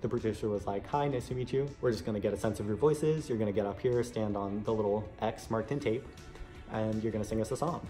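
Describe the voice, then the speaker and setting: A man talks quickly in a casual vlog style, recounting his own experience. His voice sits a little on the low side, and his delivery is chatty and conversational, with music playing behind him. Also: dark tone. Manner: chatty, frequent "like" asides. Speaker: vlogger recounting an audition. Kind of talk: casual first-person vlog storytelling.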